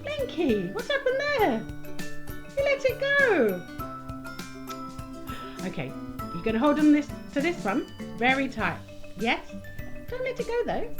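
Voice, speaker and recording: A woman presenting a children's puppet show, coaxing in a sing-song way with simple phrases and questions. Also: sits high. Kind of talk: children's entertainer talk to a puppet and young audience.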